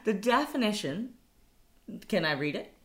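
A woman's voice: mid-range voice, sing-song, a little slow, slight background noise. A woman co-hosting a casual money podcast, chatty and laughing often. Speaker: podcast co-host.

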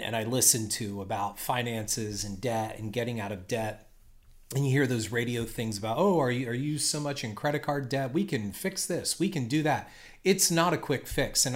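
Man, podcast co-host, measured, explains at length, mid-range voice. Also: mid-range voice. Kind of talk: casual podcast chat.

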